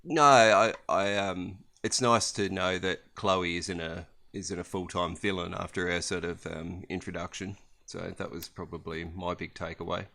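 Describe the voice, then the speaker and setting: Deep, bright-toned male podcast co-host. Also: steady tone, a little slow, vocal fry, hardly any pauses. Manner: hesitant, dry wit, many ums. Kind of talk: casual chat.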